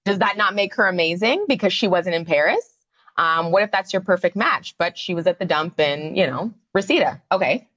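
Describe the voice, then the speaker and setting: A woman's voice: on the low side, expressive, fairly quick, a bit bright, highs cut off. A woman, a psychotherapist guest on a podcast, explaining her views in a conversational way with many fillers. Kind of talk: podcast interview.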